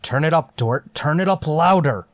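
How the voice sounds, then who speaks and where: A man's voice: fairly high pitch, expressive, moderate pace, no room sound, studio quality, natural voice. A man playing a stern, suspicious starship captain in a radio sci-fi drama, commanding and insistent. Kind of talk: golden age radio sci-fi drama acting.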